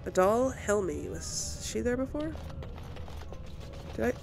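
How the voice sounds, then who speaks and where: A woman's voice: on the high side, animated, slow, vocal fry, background noise. A woman hosting a let's play, chatting casually and thinking aloud as she comments on her game.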